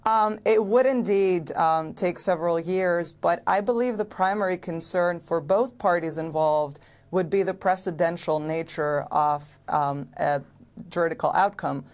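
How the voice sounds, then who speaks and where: Woman law lecturer on a tv panel, low voice, careful and precise, lots of ums. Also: a little mellow. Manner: measured, precise legal phrasing with frequent ums. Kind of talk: expert explanation on a TV panel.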